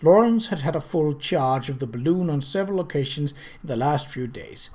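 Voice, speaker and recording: Man narrating a novel, slightly high voice. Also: animated, normal speed, darkish tone. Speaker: audiobook narrator. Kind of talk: scripted narration of a period mystery novel.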